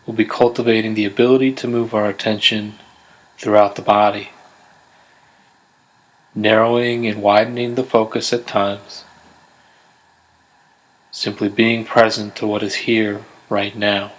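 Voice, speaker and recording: A man guiding a body scan meditation in a gentle, unhurried, measured way, leaving pauses between instructions. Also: on the low side, level tone, poor audio. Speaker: meditation guide. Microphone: close USB condenser microphone.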